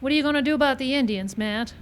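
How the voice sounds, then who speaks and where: A woman's voice: sits high, very fast, close to an everyday voice. An actress playing a teasing, unflappable frontier saloon woman in a live old time radio western. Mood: playful, nonchalant. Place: live show in a park. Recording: live outdoor stage, noisy background.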